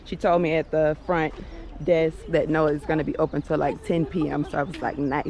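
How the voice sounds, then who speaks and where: A woman's voice: sits low, fairly quick. A woman chatting casually while walking through an outdoor park, laughing often and saying "like" a lot. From United States. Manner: relaxed, laughs often, lots of filler "like". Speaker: travel vlogger.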